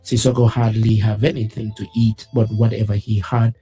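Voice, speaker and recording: A man presents a weekly news program in a measured, solemn read. His voice sits low and sounds warm and relaxed. Soft music plays faintly beneath his words. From West Africa. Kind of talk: scripted news reading.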